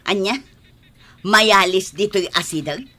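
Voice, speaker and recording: A woman's voice: medium pitch, slow, pushed and bright. A woman playing an exasperated, nosy, scornful middle-aged mother in a radio drama, with a nagging manner.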